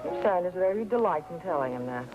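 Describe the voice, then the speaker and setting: An American actress playing a cool, flippant wealthy wife in a 1950s crime drama, breezy and condescending. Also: female voice, lowish voice, lively intonation, quick pace, close to an everyday voice. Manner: breezy, condescending, coolly dismissive. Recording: dull old soundtrack under loud music.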